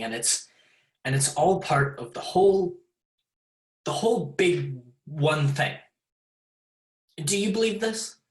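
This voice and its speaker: An actor playing a rambling, awkward science lecturer in a theatrical monologue, halting, stammering and breaking off mid-thought. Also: medium pitch, lightly acted. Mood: musing. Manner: halting, stammering, pausing, self-interrupting. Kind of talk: theatrical monologue addressed to an audience. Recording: roomy, echoing sound.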